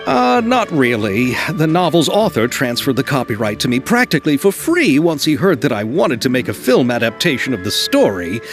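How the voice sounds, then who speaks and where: A man playing an earnest, eager film producer in a video game, hesitant and flattering toward others. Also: medium pitch, normal speed, hardly any pauses, soft background music.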